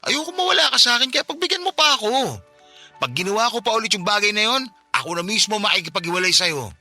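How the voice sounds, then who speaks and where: A man's voice: sits high, big pitch swings, fast talker, bright, forceful tone, faint music behind, heavily acted. A man voicing a remorseful young fiancé who begs and apologises insistently in a Filipino-accented radio drama. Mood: remorseful, begging. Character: remorseful, pleading young cheating fiancé begging forgiveness.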